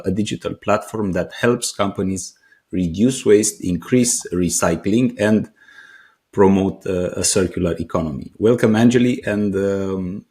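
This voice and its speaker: A man with a very low voice hosts a business interview podcast. He introduces his guest and asks his questions hesitantly, with frequent pauses and fillers. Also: darkish tone. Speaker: podcast host.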